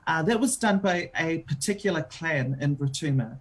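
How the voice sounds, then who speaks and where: A woman's voice: deep voice, animated, normal speed, slightly rough. A woman with a New Zealand accent, a radio show host being interviewed on air, talking in a chatty way with many filler ums.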